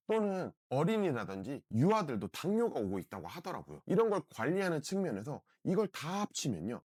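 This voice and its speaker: A middle-aged man, hoarse from a throat cold, talks through a home product review. His pitch swings up and down a lot as he pushes his point. The sound is close and dry, with no room echo. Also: darkish tone. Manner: earnest, repeats "진짜" often, persuasive. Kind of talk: casual review vlog.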